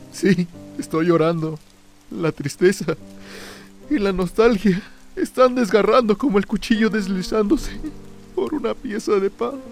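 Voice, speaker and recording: An actor playing a lonely, tormented drunk sinking into fear and madness in a brooding, theatrical radio drama monologue. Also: male voice, high voice, a little mellow. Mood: terrified, anguished. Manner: brooding, theatrical first-person soliloquy.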